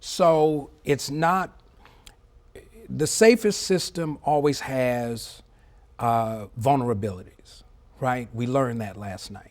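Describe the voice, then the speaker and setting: A middle-aged man with a mid-range voice gives expert commentary in a TV interview. He is articulate and measured, leaning on key words for emphasis and checking that the listener follows. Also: medium pitch, darkish tone. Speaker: former air traffic controller, interview guest. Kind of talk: live TV news interview, explanatory commentary.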